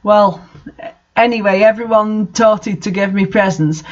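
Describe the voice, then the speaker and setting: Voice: medium pitch, fairly even intonation, unhurried, dull audio. A host reading fan fiction aloud and adding casual, incredulous commentary.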